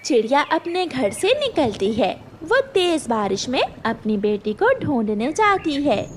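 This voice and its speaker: A woman reading scripted narration for a children's cartoon story in an expressive storytelling manner. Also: high-pitched, unhurried, a little hiss or hum.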